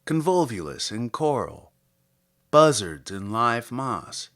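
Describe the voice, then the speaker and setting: A man reading scripted literary material aloud as a podcast host, in a measured, articulate, theatrical manner. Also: medium pitch, big pitch swings, a bit bright. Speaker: podcast host and poetry reader. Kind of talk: scripted literary introduction and poetry recitation.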